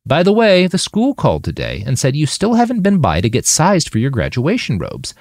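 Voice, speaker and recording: A man's voice: on the high side, huge pitch swings, fairly quick, a little mellow, no echo, studio quality, voice pushed up, exaggerated voice. A man voicing a middle-aged suburban mother in an audiobook, pointed and sugary, doting yet sarcastic. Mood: nagging.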